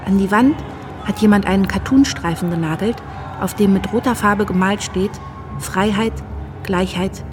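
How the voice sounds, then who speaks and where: A woman's voice: mid-range voice, lightly acted. A woman playing an anxious, dutiful young wife, narrating her life confidingly in a radio drama.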